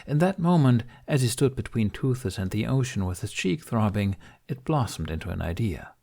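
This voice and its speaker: A man reading an audiobook as narrator, in expressive scripted storytelling. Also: mid-range voice, moderate pace, gravelly.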